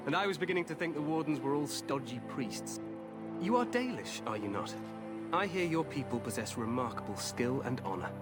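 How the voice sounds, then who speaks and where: A man's voice: mid-range voice, big pitch swings, brisk, lightly acted. An actor playing an overconfident, glory-hungry young king in a fantasy video game, with a hearty, breezy, regal manner. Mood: gracious, amused. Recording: music behind the voice.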